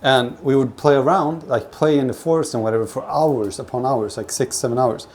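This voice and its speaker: A man from Sweden chatting casually on a livestream from his kitchen, telling personal stories in a rambling, halting, sweary way. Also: mid-range voice, a little mellow. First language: not Swedish; grew up with several languages. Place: home kitchen while cooking. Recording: clear kitchen noise, frying food. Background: frying food, kitchen ambience.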